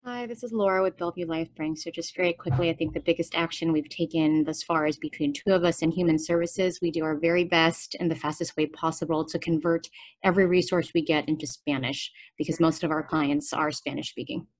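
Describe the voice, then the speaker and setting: A woman's voice: low voice, steady tone, fairly quick. A woman giving a short, practical update on a work conference call. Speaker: nonprofit human services worker. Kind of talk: brief update in a work meeting. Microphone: remote conference call.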